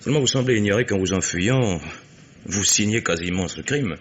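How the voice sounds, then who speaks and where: An actor playing a blunt, sardonic private detective who argues insistently in a radio mystery play. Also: male voice, fairly low pitch, expressive, fairly quick, slight background noise, lightly acted. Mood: grave, resigned.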